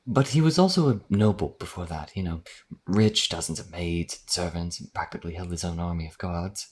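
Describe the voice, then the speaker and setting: A man's voice: brisk, darkish tone. A man playing a modest, earnest vampire wanderer in a fantasy audio roleplay, explaining things in a hesitant, conversational way. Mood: explanatory. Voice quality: deep, husky.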